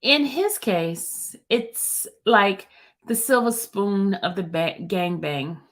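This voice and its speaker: A woman reading out a male gang witness's testimony in a lowered voice, answering in quiet, halting phrases. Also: close to an everyday voice. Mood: recalling.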